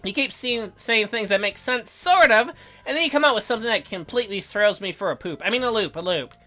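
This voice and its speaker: A bright-voiced man with very animated, swooping intonation reads a visual novel aloud as a let's play host. He is theatrical and jokey, slipping in his own commentary. Faint music plays behind him. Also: high voice, a little fast.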